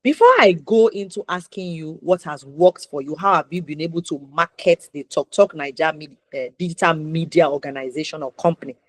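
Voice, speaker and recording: A Nigerian woman presents a talk show in a fairly low voice, recorded close and dry. Her phrasing is deliberate and emphatic, and she puts rhetorical questions to the listener as she goes. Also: no room sound. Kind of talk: interview hosting with introductions.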